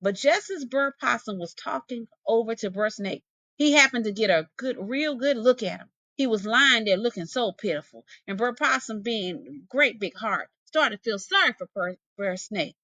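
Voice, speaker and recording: A woman's voice: fairly high pitch, expressive, moderate pace, bright, forceful tone, no echo. A woman narrating a folktale expressively, with folksy dialect phrasing and dramatic delivery. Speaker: storyteller, English teacher.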